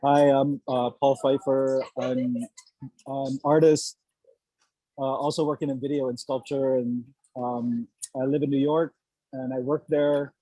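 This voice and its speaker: A man, an artist raised in Hawaii and the Philippines, introducing himself with soft-spoken hesitation. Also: mid-range voice, level tone, unhurried, dry recording, low-quality recording. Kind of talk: self-introduction.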